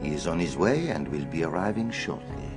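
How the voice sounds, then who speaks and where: A formal, deferential head waiter in a radio play. His voice is very low with supple intonation, over faint music. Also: expressive, brisk. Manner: polite, formal. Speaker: actor in a radio detective play. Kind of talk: radio drama acting.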